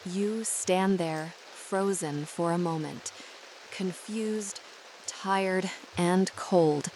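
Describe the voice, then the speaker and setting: A woman narrating a guided sleep meditation in a soothing, measured and deliberate way. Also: on the low side, a little mellow, slight background noise, poor audio.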